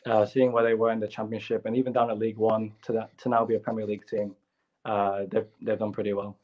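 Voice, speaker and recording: A young footballer from Hong Kong answering interview questions politely with plain answers and fillers. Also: male voice, on the low side, flat delivery, quick pace, darkish tone, highs cut off. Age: young adult. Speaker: youth footballer, guest. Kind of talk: casual interview.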